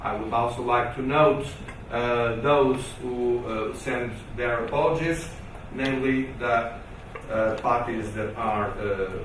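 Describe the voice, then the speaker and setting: A man's voice: medium pitch, slow, noisy background. A man from Portugal with a Portuguese accent gives formal opening remarks at a conference, with many fillers and restarts. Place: large conference hall. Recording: echoey hall, mic from a distance.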